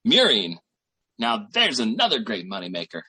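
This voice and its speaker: An actor playing a boastful, slangy small-time schemer boyfriend in 1940s radio sitcom style, with a raspy voice. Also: male voice, fairly low pitch, huge pitch swings, moderate pace, sharp tone, heavily acted. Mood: boastful, excited. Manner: slangy, bragging, theatrical hustler patter.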